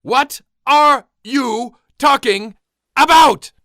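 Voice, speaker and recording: A woman playing an irascible, exasperated female doctor in a comic radio play, with loud, drawn-out, indignant outbursts. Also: high-pitched, dry recording, clean studio sound, full character voice.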